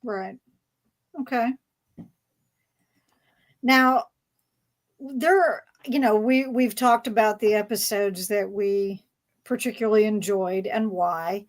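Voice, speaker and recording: A woman moderating a casual fan panel discussion, speaking haltingly as she searches for words. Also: medium pitch.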